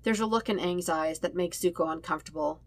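A woman narrates a story in a fairly low voice. The sound is dry, with hardly any room around her, and the delivery is that of scripted audiobook-style reading. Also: brisk, no room sound. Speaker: podfic reader of fan fiction.

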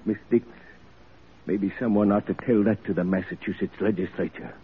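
A man's voice: fairly low pitch, steady tone, moderate pace, narrow, tinny audio, heavy background noise, close to an everyday voice. An actor playing an earnest, sorrowful man giving dramatic testimony in an old radio drama. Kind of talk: classic American radio drama acting.

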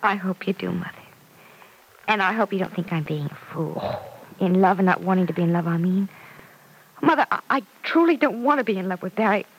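A woman playing an earnest, lovesick young daughter in an old-time radio soap opera, hesitant and husky, repeating her words. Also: mid-range voice, a little fast, a little hiss or hum, close to an everyday voice, rough recording. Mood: earnest, uneasy. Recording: vintage radio recording.

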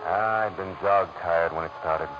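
An actor playing a weary, hard-boiled private detective with an American accent, in 1940s radio noir style, clipped and wry. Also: male voice, sits low, unhurried. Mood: reflective. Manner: world-weary, clipped wisecracking. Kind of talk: 1940s radio noir acting and first-person narration. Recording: muffled old radio recording with music.

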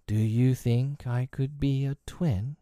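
A man acts out a humble little storybook boy, bashful and gloomy. The words come heavily and hesitantly, in a soft, mellow voice recorded very dry. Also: mid-range voice, fairly even intonation, no echo, voice pushed up, lightly acted. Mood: heavy, pleading. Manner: heavy, slow, hesitant delivery.

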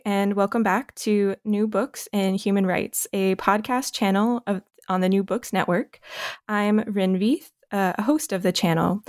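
A woman's voice: mid-range voice, a little mellow. A woman hosts a book podcast and interviews an author. She speaks hesitantly, with frequent fillers as she frames her questions. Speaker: podcast host. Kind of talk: interview hosting.

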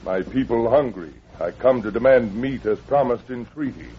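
An actor playing a proud, stern Sioux chief in a 1950s radio western, with formal, stilted broken English. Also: male voice, medium pitch, normal speed, close to an everyday voice. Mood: stern, demanding. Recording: muffled old radio recording with hiss.

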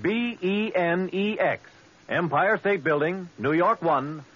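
A man with an American accent reading a scripted 1940s radio advertisement in a husky voice. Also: sits high, slow, a bit bright. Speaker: radio ad announcer. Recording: dull vintage radio recording.

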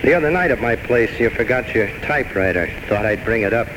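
A man's voice: medium pitch, animated, a little fast, natural voice. An actor playing a plain-spoken, folksy barman in a 1940s radio drama. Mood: gruffly kind. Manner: folksy, colloquial. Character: plain-spoken, folksy working man running bar. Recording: noisy old 1940s radio broadcast.

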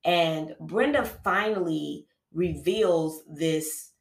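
A woman's voice: low-pitched, extremely slow. A woman podcast host with a cold, recounting a true-crime case in a casual, conversational way, full of fillers and restarts. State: has a cold, sniffling. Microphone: podcast microphone. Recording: roomy echo.

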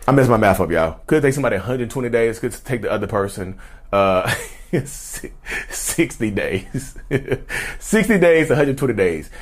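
A man's voice: mid-range voice, lively intonation, unhurried. A man talking casually to camera, chatty and rambling, who keeps calling his viewers y'all and wanders off into sidebars. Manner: repeats and restarts, drifts into sidebars. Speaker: YouTuber talking about narcissism. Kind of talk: casual direct-to-camera talk.

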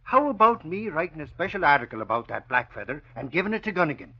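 An actor playing an excitable, boastful newspaper reporter with an Irish accent and folksy bluster, in old-time radio style. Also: male voice, a little high, quick pace, slightly rough, full character voice. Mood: boastful, blustery. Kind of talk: 1940s radio acting. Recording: dull vintage radio recording.

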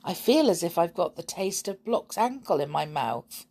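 A woman voicing a cheeky, excitable young boy in a storybook, with an earnest, protesting manner. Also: fairly low pitch, normal speed, husky. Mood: mock-serious.

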